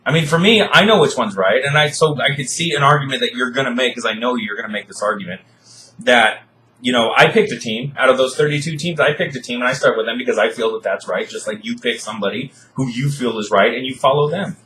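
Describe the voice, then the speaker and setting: A man in his thirties in a casual debate, halting and searching for words, giving ground and then pushing back. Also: medium pitch, bright, forceful tone, low-quality recording. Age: 30s. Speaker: co-host debating. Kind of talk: casual argument.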